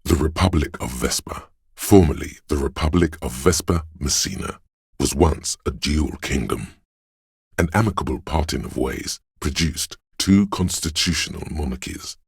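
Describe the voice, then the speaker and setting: A know-it-all encyclopedia voice in a game, super low gravelly man, measured n dryly sardonic, close dry sound. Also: dry recording, heavily acted. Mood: measured, erudite. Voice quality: gravelly, husky. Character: erudite inner voice of encyclopedic knowledge, dryly sardonic male. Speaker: game narrator. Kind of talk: scripted narration of encyclopedic lore.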